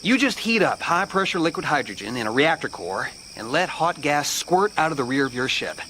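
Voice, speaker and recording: A cavalier, enthusiastic rocket engineer in a radio drama. His voice sits fairly high and bounces around with breezy pride, over faint noise. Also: sing-song, fairly quick, bright, forceful tone, exaggerated voice, low-quality recording. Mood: proud, amused. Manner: breezy, boastful. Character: brash, enthusiastic male rocket engineer, cavalier about danger.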